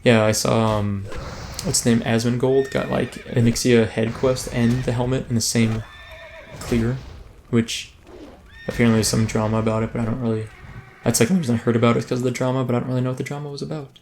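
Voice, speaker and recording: A man's voice: medium pitch, level tone, fast talker, gravelly, darkish tone, a little hiss or hum. A man hosting a let's play, giving casual, rambling gameplay commentary that keeps breaking off mid-thought. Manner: rambling, self-interrupting, chatty.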